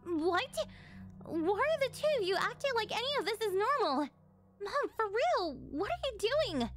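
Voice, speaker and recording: Exasperated teen schoolgirl played by a woman, shrill-high pitch, sharp and disbelieving, roleplay acting with music underneath. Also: big pitch swings, normal speed, full character voice. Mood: shocked, indignant. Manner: incredulous, sharp, stammering.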